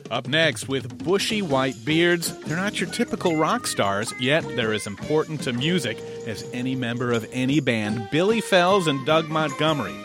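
A man hosting a talk show, asking halting questions of a guest and then reading presenter links. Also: medium pitch, animated, loud music behind the voice. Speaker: radio show host. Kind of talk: interviewing and presenting.

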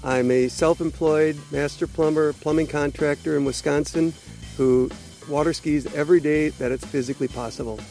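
A man in his fifties talks casually about himself in an interview. His voice sits a little high, with faint music behind. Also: steady tone, normal speed, vocal fry, darkish tone. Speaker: interviewee, plumbing contractor.

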